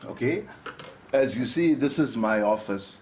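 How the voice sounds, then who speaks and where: An actor playing a slippery, jovial hospital doctor with an Indian accent and a breezy, joking manner, in a naturalistic radio drama. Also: male voice, mid-range voice, lively intonation, a little slow, a little mellow, natural voice. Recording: noisy background ambience.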